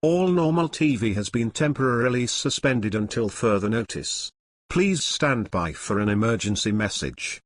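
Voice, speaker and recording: A man's voice: mid-range voice, animated, normal speed, dry recording, lightly acted. A man playing an impersonal television emergency announcer, reading a formal standby notice. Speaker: broadcast interruption announcer.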